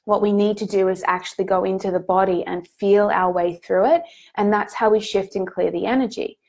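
A woman with a head cold, sounding a bit nasal, hosting a live group coaching call in a gentle, reassuring way with frequent ums and restarts. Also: mid-range voice, steady tone, brisk, vocal fry.

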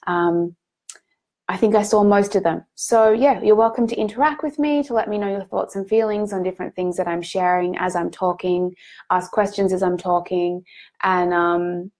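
A woman with a head cold, sounding a bit nasal, hosting a live group coaching call in a gentle, reassuring way with frequent ums and restarts. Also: on the low side, normal speed.

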